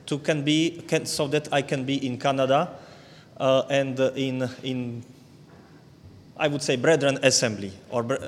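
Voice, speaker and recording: A man with a Slovak accent, Slovak his first language, speaking haltingly with many fillers and restarts as a visiting preacher addressing a church. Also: medium pitch, a little hiss or hum. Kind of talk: church talk. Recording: long hall reverb.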